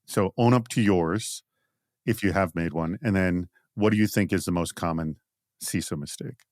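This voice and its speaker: A man with a slightly low voice hosts a podcast. He puts questions in a conversational way, probing and gently teasing. The sound is dry and close. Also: normal speed, no room sound. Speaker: podcast host.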